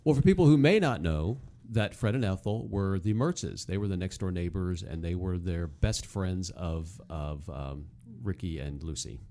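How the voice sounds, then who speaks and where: A man's voice: sits low, lively intonation, moderate pace, few pauses, warm tone. A man co-hosting a podcast, giving casual conversational commentary in a hesitant, explanatory storytelling way. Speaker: podcast co-host.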